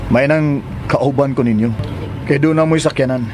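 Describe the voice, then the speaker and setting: Radio drama casting: male actor as hardworking, devoted Filipino fiancé, mid-range voice, clearly noisy ambience. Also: mid-range voice, moderate pace, close to an everyday voice. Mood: coaxing. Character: devoted, hardworking fiancé eager to have children. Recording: noisy urban ambience behind.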